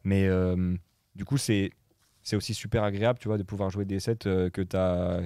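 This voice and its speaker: Relaxed young man, fillers. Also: sits low, moderate pace, clean tone, no room sound, clean studio sound. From Morocco. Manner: hesitant, many fillers and restarts. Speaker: guest DJ and producer. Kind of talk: casual chat.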